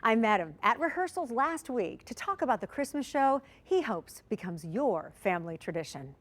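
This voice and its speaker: A woman's voice: a little high, sing-song, normal speed, noisy background. A woman reporter reading a scripted feature story for television news in a polished storytelling way.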